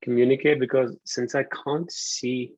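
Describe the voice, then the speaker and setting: A man from Pakistan in his thirties giving a relaxed online university lecture, often pausing with ums. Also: medium pitch, no echo. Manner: relaxed, hesitant with frequent ums. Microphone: computer mic on a video call. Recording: dull lo-fi video call audio.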